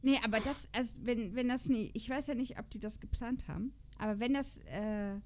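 Female podcast host, high-ish voice, informal conversational delivery, unscripted chat. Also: brisk, dark tone. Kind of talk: casual chat about audio drama episodes.

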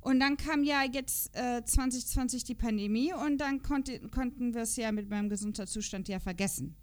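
High-voiced woman chatting casually. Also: normal speed. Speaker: podcast co-host. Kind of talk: casual chat.

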